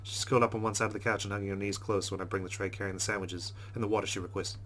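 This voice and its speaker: Fast-talking low-voiced man reading game narration. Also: steady tone, fairly bright tone, a little hiss or hum. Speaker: let's play host. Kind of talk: reading game narration aloud with casual asides.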